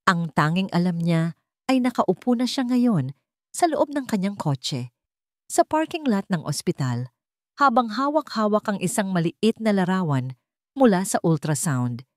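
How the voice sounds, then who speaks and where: A woman reading a scripted romance drama in a solemn, emotive storytelling manner with deliberate phrasing. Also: medium pitch, sing-song, no echo, clean studio sound. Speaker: narrator.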